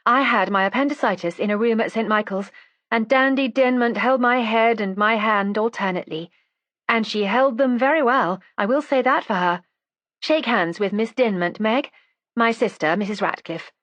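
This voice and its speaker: A woman narrator plays a hearty, well-bred lady in an audiobook. She drawls pleasantly and teases with emphasis, her pitch lifted, in a close dry recording. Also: moderate pace, fairly bright tone, no echo, close to an everyday voice. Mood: breezy, delighted. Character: breezy, drawling upper-class sister, a hearty friend.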